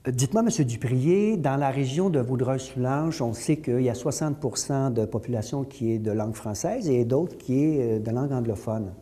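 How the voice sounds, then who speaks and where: Male Quebec French interviewer, very soft-edged dark tone, hesitant phrasing. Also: mid-range voice, expressive, normal speed, hardly any pauses. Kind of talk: interview questions.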